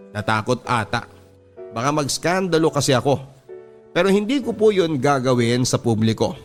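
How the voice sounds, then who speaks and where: Male radio drama narrator, Filipino accent, mid-pitched, confessional and sentimental storytelling with music underneath. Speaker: radio drama host reading a listener's letter. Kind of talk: dramatic first-person letter narration. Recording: music bed behind the voice. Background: background music.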